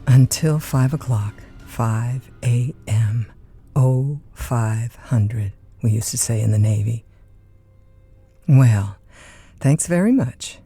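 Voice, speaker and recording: An actress playing a chatty, easygoing overnight radio disc jockey in an audio drama, with a folksy, informal manner. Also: female voice, a bass voice, slow pace, mellow, breathy edge, faint music behind, exaggerated voice. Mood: casual. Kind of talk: naturalistic audio drama acting.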